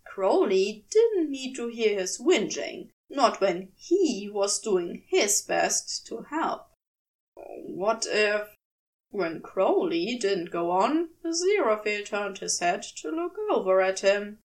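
A woman reading fiction aloud as an audiobook narrator, in an expressive scripted storytelling style. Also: mid-range voice, takes it slowly, distant mic.

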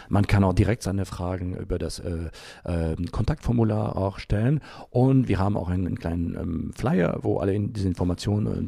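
A man giving unscripted interview answers, haltingly, often pausing and searching for his words. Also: deep voice, gravelly, dark tone, no room sound, studio quality. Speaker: interview guest, environmental group founder.

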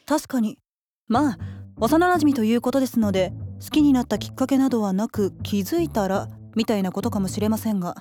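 An actress in a radio drama playing a composed, quietly envious young woman who speaks politely and drily. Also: a little high, a little fast, faint music behind, close to an everyday voice. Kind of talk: Japanese radio drama acting.

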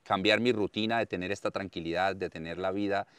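Deep-voiced Colombian man telling his story. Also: brisk, smooth, no echo. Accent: Colombian, Santander. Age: 30s. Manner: earnest and expressive, often repeats his words for emphasis. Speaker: interviewee, farmer and content creator. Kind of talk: conversational storytelling interview.

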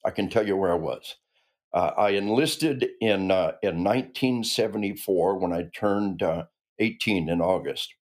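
Older guy in his 60s, low voice, earnest, keeps restarting sentences, guest on a podcast. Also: unhurried, darkish tone. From American Midwest. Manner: earnest and emphatic, with frequent restarts. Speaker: guest, retired army officer and author. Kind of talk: conversational interview.

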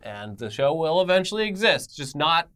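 Animated man co-hosting casual entertainment news. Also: fairly high pitch, normal speed. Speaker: co-host of an entertainment news show. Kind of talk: casual news commentary with sponsor reads.

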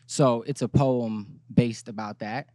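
A man from Iraq answering interview questions softly and hesitantly, with pauses and fillers. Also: medium pitch, steady tone, dry recording, clean studio sound. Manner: hesitant, soft-spoken. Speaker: guest musician. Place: studio.

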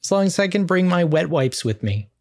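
A woman plays an anxious hypochondriac teenage boy in a comedy table read. The voice is low but very animated, prim and deadpan as it frets over every danger. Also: brisk. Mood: fussy, worried.

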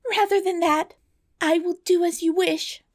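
A woman's voice: very high-pitched, fairly even intonation, measured pace, no room sound, clean studio sound, pitched above the performer's own voice. A woman voicing a dramatic, distressed young storybook princess with plaintive, theatrical exclamations. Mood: anguished. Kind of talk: storybook character voice.

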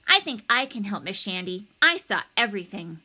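A woman's voice: mid-range voice, very animated, normal speed, bright, forceful tone, breathy edge, full character voice. A woman voicing an eager, helpful, slightly nerdy schoolboy in a children's storybook, earnest and explanatory.

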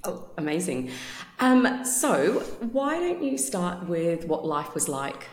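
Low-voiced woman host, echoey room. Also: sing-song, slow pace. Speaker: podcast host. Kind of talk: scripted introduction and interview questions. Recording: echoey room with faint music.

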